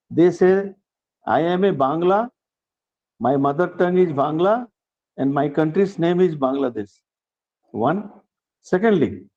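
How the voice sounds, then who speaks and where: An older man with an Indian English accent giving an earnest, measured acceptance speech, often restarting his phrases. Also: a little high, a little mellow, lo-fi sound. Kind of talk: formal speech.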